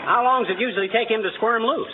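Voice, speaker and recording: An actor playing a blustering, self-important middle-aged husband who grumbles and quips in a 1940s radio comedy. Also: male voice, very high voice, fast, crowd noise. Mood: breezy. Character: blustering, put-upon middle-aged husband with grand ideas. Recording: muffled, noisy vintage radio with audience.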